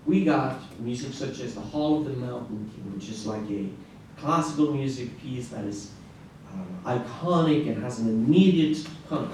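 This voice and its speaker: A middle-aged man from Norway giving a conference talk as a classical guitarist, telling stories in an animated way with frequent restarts and "right?" asides. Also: medium pitch. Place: large echoey conference room. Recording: echoey room, distant mic, faint noise.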